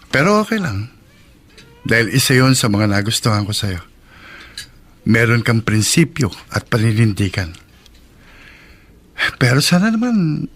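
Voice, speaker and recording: A man's voice: mid-range voice, a bit bright, heavily acted. An actor playing a wealthy, generous young man in a radio drama, easygoing and teasing.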